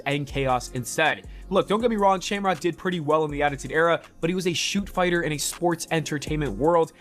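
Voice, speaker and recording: A man with a fairly high voice narrates a scripted opinion video. He phrases his points punchily and with emphasis, with music playing faintly underneath. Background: background music.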